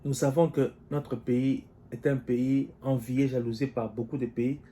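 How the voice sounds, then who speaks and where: A man delivers political commentary on his own. His voice is mellow and warm, set at an ordinary pitch for a man. He talks in a measured, deliberate way, thinking aloud and turning his points into questions for his listeners. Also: steady tone, slight background noise. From DR Congo. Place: living in the United States.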